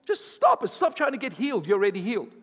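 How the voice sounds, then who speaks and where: A man preaching a sermon as pastor, speaking English as a second language, with emphatic rising bursts and quick asides. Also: sits high, big pitch swings, a bit bright, long reverb tail. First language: not English.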